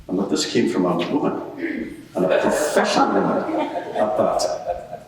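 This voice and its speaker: A man's voice: on the low side, slight background noise. A man playing a scornful Roman procurator, arguing in formal, rhetorical court style in a large echoing room. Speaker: advocate in a mock court inquiry. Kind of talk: courtroom cross-examination and closing submission. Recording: very echoey hall, far from the mic.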